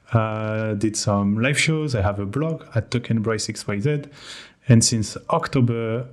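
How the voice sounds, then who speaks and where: French-accented man, candid and wry. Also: lowish voice. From France. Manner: candid, self-deprecating, quick laughs. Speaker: podcast guest. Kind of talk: casual podcast interview.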